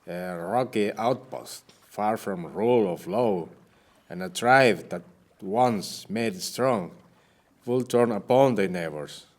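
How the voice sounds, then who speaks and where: A man playing a wounded, weary, grave Spanish captain on the run in a period audio drama, with formal, measured speech. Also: fairly low pitch, a little mellow. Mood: grave, resolute. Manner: formal, measured, archaic phrasing.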